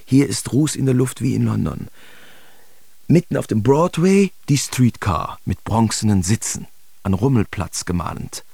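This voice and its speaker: An actor playing a German artist in a radio play, listing big-city impressions in clipped, observational fragments. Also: male voice, mid-range voice, very animated, unhurried, vocal fry, warm tone, slightly rough, no room sound, full character voice. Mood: observing, impressed. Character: male German artist recording sharp impressions of bustling New York.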